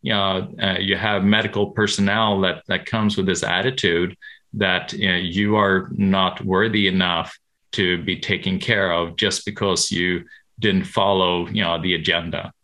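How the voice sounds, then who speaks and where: A man from Sweden, a medical professional answering interview questions haltingly, with many restarts. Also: low voice, little pitch movement, pushed and bright.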